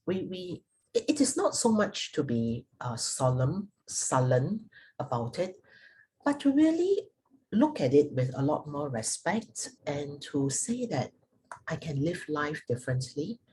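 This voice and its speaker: A middle-aged woman with a Singaporean accent giving a reflective personal talk, speaking deliberately and haltingly with thoughtful pauses. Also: low-pitched, big pitch swings, mellow, a little hiss or hum, low-quality recording. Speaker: guest speaker sharing personal experience.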